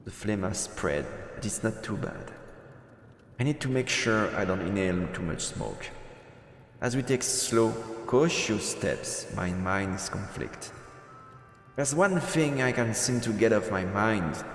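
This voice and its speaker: A man's voice: on the low side, very animated, unhurried. A man streaming a game, reading a visual novel's story narration aloud. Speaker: let's play streamer. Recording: roomy reverberant sound, music behind.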